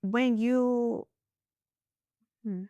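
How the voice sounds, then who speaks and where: A woman's voice: on the high side, steady tone, extremely slow, mellow, dry recording, highs cut off. A woman podcast host talking in an earnest, reflective way as she shares a spiritual story in a casual interview.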